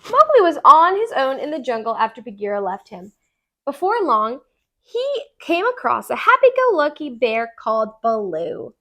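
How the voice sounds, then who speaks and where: A woman narrating a children's storybook aloud in an expressive, deliberate storytelling manner. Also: high-pitched. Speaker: tutor reading aloud.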